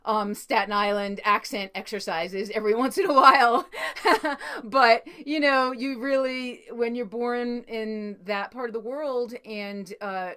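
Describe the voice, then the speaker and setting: A woman's voice: medium pitch, a bit bright, clean tone. A middle-aged woman with a New York accent hosting an interview, speaking earnestly and effusively with many fillers. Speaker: podcast host. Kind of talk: interview introduction and chat.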